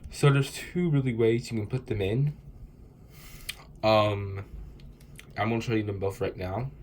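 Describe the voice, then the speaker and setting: A man's voice: fairly low pitch, fairly even intonation, unhurried, a little mellow, a little hiss or hum. Stuffy-nosed young man teaching a puzzle. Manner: loose, rambling explanation with fillers. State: stuffy from a cold. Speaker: cubing tutorial host. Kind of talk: casual tutorial explanation.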